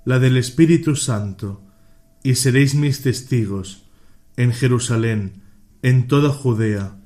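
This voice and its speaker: A man acting a slow, serene Jesus. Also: medium pitch, darkish tone, close to an everyday voice. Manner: solemn, measured delivery. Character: serene risen Jesus, gentle reassuring teacher to disciples. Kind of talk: youth radio play acting.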